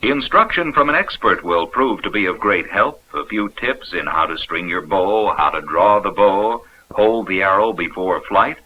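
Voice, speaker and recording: Deep-voiced American man narrating, dated film sound. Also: animated, moderate pace, few pauses. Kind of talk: 1970s instructional film scripted narration. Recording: dull, noisy vintage 16mm film soundtrack.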